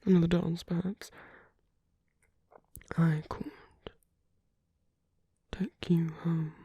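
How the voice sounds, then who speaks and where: A woman playing a flirtatious villain in an intimate ASMR roleplay, teasing and tender, speaking softly in a coaxing half-whisper. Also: on the low side, level tone, extremely slow, slightly rough, dry recording, dull audio, full character voice.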